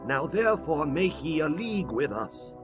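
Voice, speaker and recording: A humble, pleading ancient envoy, higher-pitched. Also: male voice, very animated, music in the background. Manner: solemn, deliberate biblical delivery. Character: wily male Gibeonite envoy, humble and pleading. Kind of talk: dramatized scripture audiobook acting.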